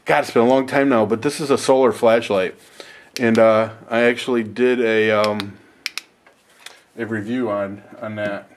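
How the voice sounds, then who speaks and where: A man's voice: fairly low pitch, fairly even intonation, unhurried, a little hiss or hum. A man giving a casual, rambling, self-deprecating unboxing and review as the host of a video.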